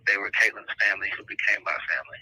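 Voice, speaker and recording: Harsh, raspy man on jail phone. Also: on the low side, steady tone, racing, bright, forceful tone, no room sound, low-quality recording. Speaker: jail inmate giving a statement by phone. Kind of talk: prepared personal statement. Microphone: telephone.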